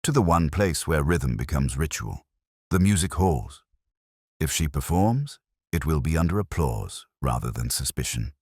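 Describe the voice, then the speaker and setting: A man acts out a brilliant gentleman detective for a mystery audiobook. The voice is extremely low and grave, with deliberate, incisive phrasing and theatrical swings of intonation. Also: sing-song, husky, no room sound, clean studio sound, heavily acted. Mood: urgent, commanding.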